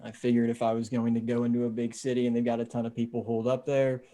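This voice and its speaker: A man plays a decent sheriff's deputy surviving hard times. His voice sits at a medium pitch. The delivery is plain and casual, like a dramatic script read over a video call. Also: completely flat delivery, quick pace, warm tone, natural voice. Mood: calm, reassuring. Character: decent, steady male small-town sheriff's deputy, survivor. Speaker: actor in an amateur table read.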